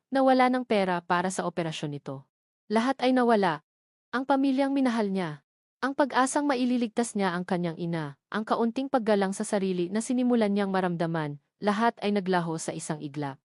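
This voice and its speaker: A woman reading a scripted dramatic love story as narrator, in a measured, solemn and sentimental way. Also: medium pitch, darkish tone, dry recording, clean studio sound.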